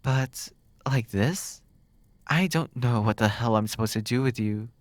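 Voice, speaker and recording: A man voices a smug demon captor in an ASMR roleplay. He is flirty and teasing, musing with pauses at a middling pitch. The sound is dry and close to the mic. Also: dry recording, natural voice. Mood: puzzled, frustrated.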